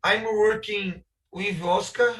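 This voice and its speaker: A man's voice: high voice, steady tone, takes it slowly, fairly bright tone, far from the mic, faint music behind, poor audio. A man from Brazil with a Brazilian Portuguese accent, speaking English as a second language on a video call, searching for words with many hesitations and restarts. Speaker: architect guest speaker. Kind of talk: halting webinar talk in a second language.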